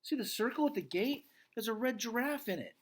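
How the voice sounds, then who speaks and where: A man's voice: very high voice, expressive, brisk, voice pushed up. A man voicing an eager, stubborn, pleading young girl for a storybook read aloud. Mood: insistent.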